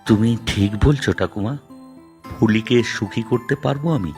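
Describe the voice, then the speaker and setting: A man's voice: lowish voice, normal speed, darkish tone, soft background music, natural voice. A man voicing a modest, mildly fussy young schoolteacher and groom-to-be in a cartoon, speaking casually. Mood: curious, unsure. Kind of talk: cartoon voice acting.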